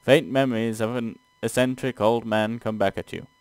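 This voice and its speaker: A man's voice: mid-range voice, fairly even intonation, normal speed, clear, resonant tone, no echo. A man co-hosting a casual let's play, reading game text aloud with stumbles and sweary, exasperated asides. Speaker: gaming show co-host playing and reading aloud.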